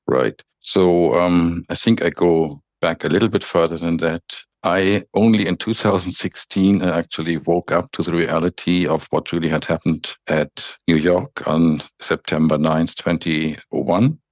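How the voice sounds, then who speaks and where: A German-accented man with an extremely deep, low voice speaks as a guest in an interview. He explains himself in a measured, deliberate way. The sound is dry and close, with no room around it. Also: fairly even intonation, no room sound. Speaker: guest, author. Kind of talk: interview answers.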